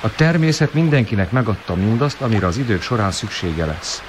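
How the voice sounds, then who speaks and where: A low-voiced, serious, bookish boy. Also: big pitch swings. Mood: solemn. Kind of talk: radio drama acting. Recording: clear background noise.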